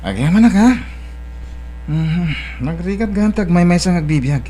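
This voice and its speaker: A man's voice: high voice, lively intonation, moderate pace, warm tone, slight background noise. A man playing an evasive, easygoing young suitor in an Ilocano audio drama, hesitant and stalling as he talks. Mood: apologetic, friendly.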